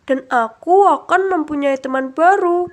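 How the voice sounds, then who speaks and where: A woman's voice: sits high, unhurried, voice pushed up. A woman voicing an earnest, polite, lonely young village boy in a children's storybook. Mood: thoughtful.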